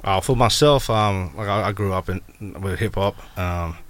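A man from Brisbane with an Australian accent, a guest rapper on a radio show, talking hesitantly with lots of pauses and fillers. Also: low-pitched. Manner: hesitant, many fillers and restarts. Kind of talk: casual radio interview. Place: radio studio.

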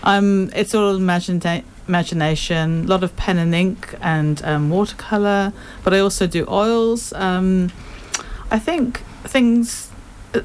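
A woman's voice: mid-range voice, fairly even intonation, measured pace, slight background noise. A woman in her fifties with an English accent, a guest artist chatting in a radio interview and laughing often. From England. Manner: chatty, laughs often, warm self-deprecating.

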